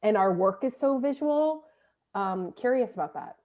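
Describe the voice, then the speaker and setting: Muffled recording of a mellow-voiced woman moderating. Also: medium pitch, animated, normal speed. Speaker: play director and panel moderator. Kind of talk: informal panel conversation.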